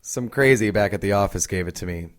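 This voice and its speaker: A man's voice: on the low side, fairly quick, close to an everyday voice. An actor in an audio drama playing a plainspoken, skeptical male police detective with a doubting manner. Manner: matter-of-fact, doubting.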